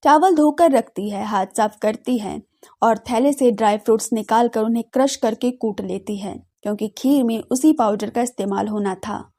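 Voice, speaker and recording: A woman narrating a scripted audio drama with expressive storytelling. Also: a little high, normal speed.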